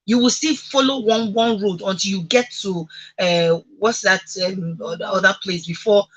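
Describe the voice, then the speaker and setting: A woman's voice: medium pitch, moderate pace, a bit bright, husky, poor audio. A woman with a Nigerian accent hosting a radio discussion, speaking emphatically and putting rhetorical questions to listeners. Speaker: radio talk show host.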